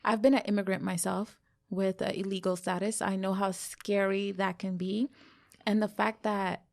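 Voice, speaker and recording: A woman hosting a podcast interview, sympathetic and asking follow-up questions, in a small studio at a boom mic. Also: level tone, normal speed, creaky, darkish tone. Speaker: podcast host. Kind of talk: conversational interview. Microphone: studio mic on a boom arm.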